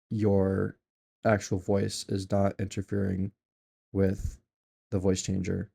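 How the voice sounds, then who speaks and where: A low, gravelly man talks through a software setup in a loose, rambling way. His voice is mellow and fairly flat, and he keeps stopping to correct himself. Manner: rambling, offhand, with many ums and self-corrections. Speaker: tutorial maker. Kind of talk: casual screen-recorded software tutorial.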